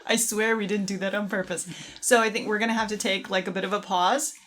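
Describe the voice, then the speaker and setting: A woman's voice: mid-range voice, steady tone, fairly quick, fairly bright tone. Middle-aged woman, agreeable, casual podcast co-host. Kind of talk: casual conversational podcast chat.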